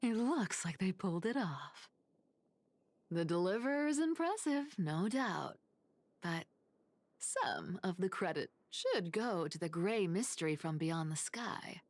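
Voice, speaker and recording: An actress voicing a sly, playful female assassin in a video game, teasing and coolly menacing in a breathy, theatrical drawl. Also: on the low side, big pitch swings, measured pace, no echo, full character voice. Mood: pensive, impressed. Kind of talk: English game voice acting, theatrical monologue.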